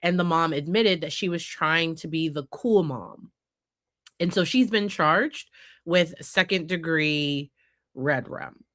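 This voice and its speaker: A woman's voice: low-pitched, slow, a bit bright, no echo, clean studio sound. A woman psychologist hosting a casual commentary on news cases, congested and nasal from a head cold, talking conversationally with frequent fillers. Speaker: psychologist host.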